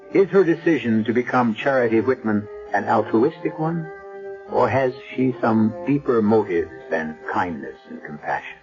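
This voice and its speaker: An American man narrating a radio drama as its host in a measured, portentous way. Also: on the low side. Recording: dull vintage radio broadcast.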